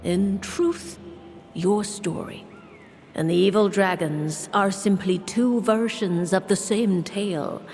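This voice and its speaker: Slow, solemn, mysterious prophetess. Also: female voice, medium pitch, darkish tone. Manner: measured, formal. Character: mysterious, composed, solemn prophetess telling ancient lore. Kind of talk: video game character acting, storytelling. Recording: long reverb, music behind.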